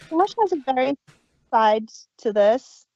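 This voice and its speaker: A woman's voice: high-pitched, mellow, dry recording, dull audio. A woman co-hosting an audio drama review podcast, talking in a thoughtful, earnest way. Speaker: podcast co-host. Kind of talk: casual review chat.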